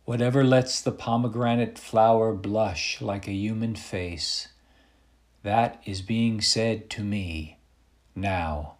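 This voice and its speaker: A man's voice: lowish voice, takes it slowly. A man reading a poem aloud as a recitation. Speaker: poetry reader.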